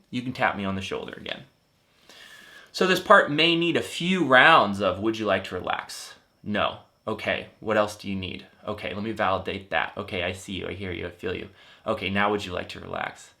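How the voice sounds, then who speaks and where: A man in his thirties teaches in a gentle, earnest way, stopping to restart phrases and add fillers as he thinks. His voice sits fairly low yet sounds bright and forward. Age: 30s. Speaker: life coach. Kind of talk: explanatory teaching talk.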